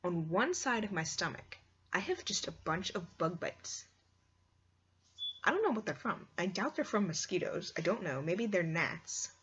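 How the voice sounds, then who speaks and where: A woman chatting casually and expressively like a video blogger, rambling along with "like" sprinkled through her sentences. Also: medium pitch. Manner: expressive, squeamish, exclaims in disgust; heavy use of "like" as a filler. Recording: dull lo-fi sound with clear background noise.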